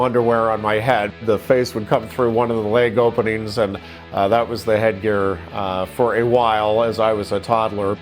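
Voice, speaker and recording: A middle-aged American man with a fairly low voice reflects on his life and work as a sports broadcaster. He tells his stories in interview style, with faint music playing behind him. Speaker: radio wrestling play-by-play broadcaster.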